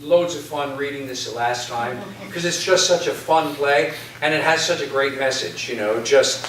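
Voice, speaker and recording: A man giving informal, rambling closing thanks to an audience as host of a staged reading, in a conversational, halting way. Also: mid-range voice, fairly bright tone. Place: hall at a community center. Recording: echoey hall, distant mic, audience noise, hiss.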